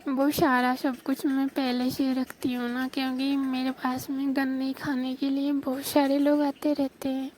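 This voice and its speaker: This is a high-voiced woman from Rajasthan speaking over a recorded phone call. She teases and bargains in a coy, playful way, but her pitch barely moves. A faint hiss sits under the line. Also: monotone, unhurried, a little mellow. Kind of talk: staged flirtatious phone call.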